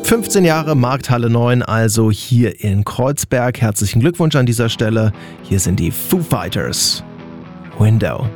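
A man's voice: medium pitch, animated, unhurried, darkish tone. A man presenting casually as a music radio host.

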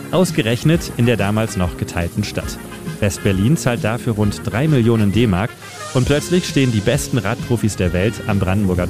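A man reading a scripted short history feature for radio as its narrator. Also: mid-range voice. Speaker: radio editor and city guide narrating a feature. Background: music bed under the voice.

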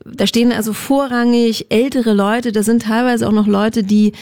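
A woman's voice: on the high side, steady tone, normal speed, a little mellow, dry recording, studio quality. A woman co-hosting on radio, talking in a casual, conversational style. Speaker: radio co-host.

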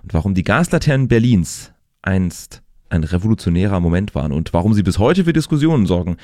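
A man with a very deep voice hosts a radio show. He talks in an easy, chatty way, running through events and songs into a studio mic. The sound is dry and close, with no room around it. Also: sing-song, fairly quick, a little mellow, no echo. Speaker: radio host. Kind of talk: casual radio moderation. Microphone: studio microphone.

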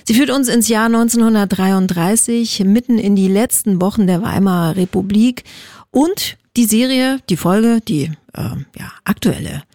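A woman's voice: mid-range voice, normal speed, a little mellow, dry recording, studio quality. A woman hosting a radio show in a casual, colloquial and chatty way. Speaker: radio host.

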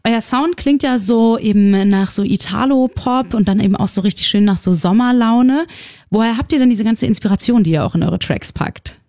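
A woman's voice: fairly high pitch, normal speed, warm tone, no room sound, studio quality. A woman from Cologne hosting a music interview on the radio. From Cologne, Germany. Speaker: radio host. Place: radio studio. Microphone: close studio microphone.

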